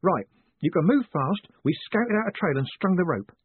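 Man voicing an eager high-pitched young man, phone-like. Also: animated, normal speed, breathy edge. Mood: urgent, eager. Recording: narrow phone-like sound.

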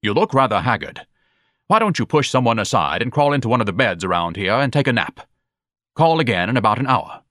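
A man's voice: mid-range voice, sing-song, fairly quick, fairly bright tone, no echo, studio quality. A man voicing a pompous, self-pitying grown son in an audiobook, declaiming in florid, haughty phrases. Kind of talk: audiobook character dialogue.

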